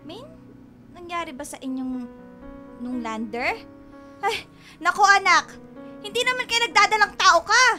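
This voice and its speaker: A woman's voice: very high voice, lively intonation, unhurried, long pauses, bright, forceful tone, soft background music, heavily acted. A woman playing a bubbly, fussy, doting middle-aged Filipino mother in a radio drama, exclamatory and nagging. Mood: alarmed, prying.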